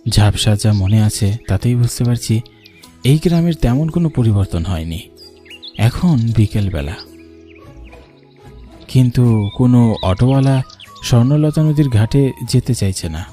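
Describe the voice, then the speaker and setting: A man's voice: mid-range voice, lively intonation, moderate pace, long pauses, mellow, faint music behind, close to an everyday voice. A man playing an earnest young man who narrates a cartoon ghost story in the first person. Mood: wondering, uneasy. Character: earnest young man narrating his eerie village journey.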